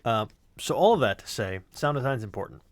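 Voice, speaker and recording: A man talking casually as a podcast sound designer, telling stories in an animated, sweary way. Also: medium pitch, a little fast, slight background noise. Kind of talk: casual chat and storytelling.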